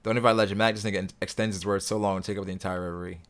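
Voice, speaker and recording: A young man hosting a casual livestream, reading viewer comments aloud and answering them in a relaxed, rambling way. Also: deep voice, rapid-fire, clean tone. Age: young adult. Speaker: livestream host reading chat.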